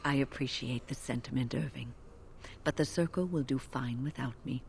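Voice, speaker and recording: An actress playing a calm, kindly older female healer mage with an earnest, even manner. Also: extremely low pitch, normal speed, vocal fry, slight background noise.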